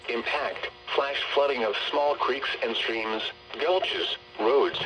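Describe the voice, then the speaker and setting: A synthetic male text-to-speech voice reading scripted emergency weather bulletins over the radio in a formal, measured way. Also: mid-range voice, animated, sharp tone, slight background noise, low-quality recording. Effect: weather radio broadcast. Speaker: automated weather radio announcer.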